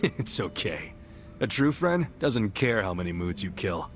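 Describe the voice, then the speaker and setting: A man's voice: lowish voice, very animated, measured pace, faint music behind. An actor playing a loyal, earnest, streetwise ex-yakuza man with an American accent and casual slangy talk, in a video game dub. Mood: sympathetic, earnest. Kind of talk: English video game dub acting, conversational.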